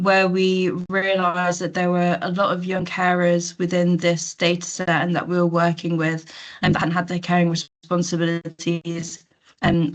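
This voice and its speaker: Croaky woman presenting slides. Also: fairly low pitch. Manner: informal, hesitant fillers. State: croaky from illness. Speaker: guest presenter. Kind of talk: presenting slides in an online meeting.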